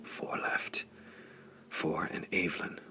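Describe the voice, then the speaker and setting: A man's voice: slow, sharp tone, no room sound, in a whisper. A man voicing a battle-worn, grimly cheerful swordsman hero in a pulp adventure audiobook. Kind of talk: audiobook character voice.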